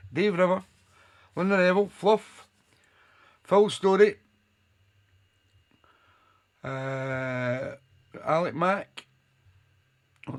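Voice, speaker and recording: A man hosting a live online soul radio show, his voice hoarse and gravelly after a night out, chatting and reeling off listeners' names. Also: a little high. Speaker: radio DJ and host. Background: soul music playing.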